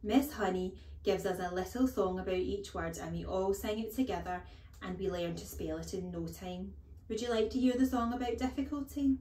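A woman's voice: mid-range voice, moderate pace, dark tone, echoey room, lightly acted. Woman doing a piping, plucky little schoolboy. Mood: chirpy. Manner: piping, eager. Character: small brave eager schoolboy, cheeky and polite.

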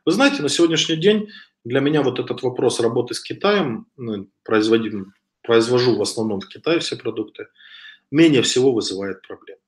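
A man's voice: medium pitch, expressive, a little fast. Guy with a bit of a cold doing a webcam lesson, mid voice, kinda hesitant, roomy echo. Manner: conversational, with frequent hesitations and restarts. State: slight cough from a cold. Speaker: online business instructor. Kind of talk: webinar lecture over slides. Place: home room with wooden shelves. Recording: echoey room on a webcam.

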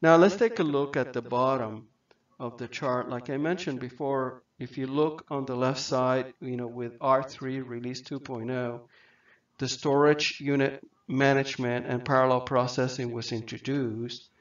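Halting man giving a slide lecture. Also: mid-range voice, fairly even intonation, far from the mic. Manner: halting, many fillers and restarts. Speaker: software trainer. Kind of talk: screen-share lecture over slides. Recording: dull lo-fi mic, set back.

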